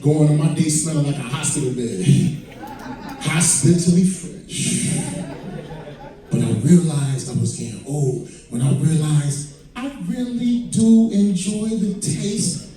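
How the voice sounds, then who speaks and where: A man's voice: on the high side, slow, crowd noise. A man in his mid-forties performing stand-up comedy to an audience, with profane, conversational storytelling. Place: indoor comedy venue. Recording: very echoey live room, distant mic.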